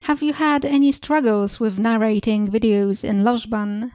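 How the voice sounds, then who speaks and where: Woman, Polish accent, young adult, calm scripted reading, fairly high voice, mellow tone, dry sound. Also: unhurried, smooth, no echo. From Eastern Europe. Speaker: channel host. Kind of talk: scripted Q&A narration.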